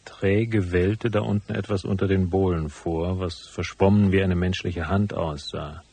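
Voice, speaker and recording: Low, husky hard-boiled investigator. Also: male voice, fairly even intonation, measured pace, a little mellow, exaggerated voice. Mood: cool, brooding. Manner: laconic, matter-of-fact. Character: cool hard-boiled male private investigator narrating his case. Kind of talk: radio drama narration and dialogue.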